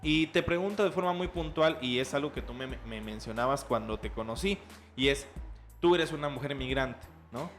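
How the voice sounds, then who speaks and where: A man's voice: mid-range voice, normal speed, fairly bright tone, soft background music. A man hosting a podcast interview as a reporter, speaking hesitantly with frequent fillers. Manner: hesitant, many "eh" and "pues" fillers.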